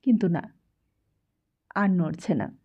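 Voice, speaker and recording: Female audiobook narrator, unhurried and expressive literary read, slightly muffled lo-fi sound. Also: on the low side, breathy edge. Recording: dull, lo-fi sound.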